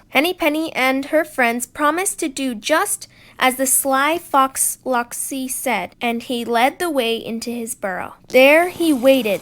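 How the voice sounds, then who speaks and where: A woman storyteller reading aloud to children in an expressive narrating style. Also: on the high side.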